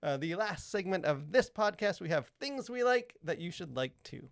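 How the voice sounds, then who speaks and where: A man, probably in his thirties, co-hosting a podcast with casual, rambling, halting talk full of filler words. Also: sits high, lively intonation, creaky, loud music behind the voice. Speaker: podcast co-host.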